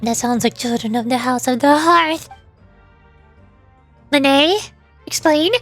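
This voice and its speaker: A woman with a very high voice reads game dialogue in character as a VTuber streamer. She takes her time, and music plays softly behind her. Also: takes it slowly.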